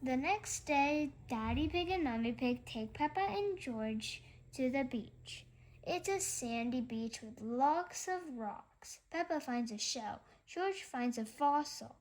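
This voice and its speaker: A woman's voice: high-pitched, expressive, slow pace. A woman reading a children's story aloud as a narrator. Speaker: storybook reader.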